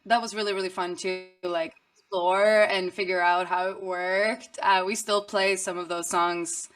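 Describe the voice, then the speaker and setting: A young woman from Sweden speaks English, talking about her music in a relaxed, upbeat way. Her voice sits in an ordinary middle range. She laughs easily between answers. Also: medium pitch. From Stockholm, Sweden. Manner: upbeat, chatty, quick laughter. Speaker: musician guest. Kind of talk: casual interview chat.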